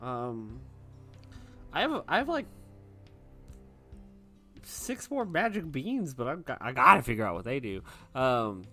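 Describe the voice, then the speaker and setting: A man's voice: medium pitch, very animated, a little slow, long pauses, bright, forceful tone. A man playing a tabletop game, talking casually and hesitantly. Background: music.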